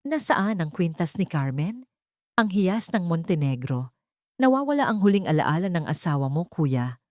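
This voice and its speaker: A woman's voice: big pitch swings, moderate pace, no room sound, studio quality. A woman voicing a spiteful, scheming younger sister from a rich family in an audio drama story, frantic and commanding. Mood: accusing, alarmed. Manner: shrill, commanding.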